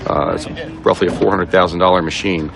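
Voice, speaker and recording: A middle-aged American man, a police officer explaining things informally in a halting, matter-of-fact way. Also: low-pitched, steady tone, vocal fry.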